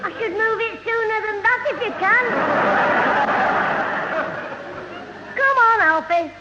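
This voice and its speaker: A piping, bright child's voice, a cheeky little Northern English schemer in a radio comedy. He sounds quick-witted and mock-innocent on a muffled old broadcast. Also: extremely high pitch, in a large hall, a little hiss or hum, exaggerated voice. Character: cheeky, scheming schoolboy imp. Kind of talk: 1970 radio sitcom acting.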